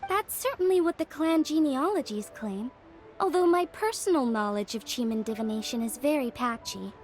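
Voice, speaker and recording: A woman playing a polite, learned young woman in an anime game, explaining in a measured, formal manner. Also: high voice, animated, a little mellow, faint music behind. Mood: scholarly, composed.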